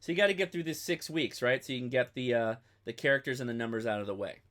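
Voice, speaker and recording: Casual male host, thirties, crisp bright tone, informal off-the-cuff tutorial delivery that rambles. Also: mid-range voice, fast talker. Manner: rambling, informal, thinking aloud. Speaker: host of a ham radio channel.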